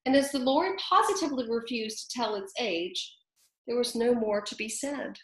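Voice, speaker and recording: A woman reading a storybook aloud in an unhurried, chatty way, pausing to comment. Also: a little high. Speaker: reader. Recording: echoey room.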